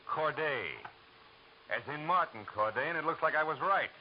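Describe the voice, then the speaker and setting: An actor playing a tough, wry private detective in a 1940s radio drama, terse and commanding. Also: male voice, a little high, lively intonation, normal speed, a little hiss or hum, lightly acted. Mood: tough, wary. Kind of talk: 1940s radio acting and first-person noir narration. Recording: dull old radio recording with music.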